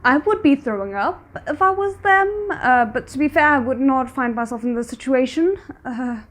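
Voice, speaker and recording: High-pitched woman reacting casually. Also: expressive, normal speed. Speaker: host of a reaction video channel. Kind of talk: casual spoken reaction commentary.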